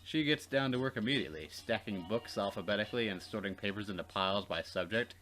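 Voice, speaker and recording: A man reading visual novel narration aloud as a let's play co-host, expressive and wry, with casual asides. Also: lowish voice, fast talker, low-quality recording.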